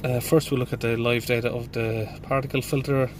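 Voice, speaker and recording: A man's voice: medium pitch, flat delivery, brisk, noisy background. A middle-aged man, a mechanic with a congested voice from hay fever, thinking aloud inside a van. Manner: plain, thinking aloud with fillers. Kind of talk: casual diagnostic walkthrough.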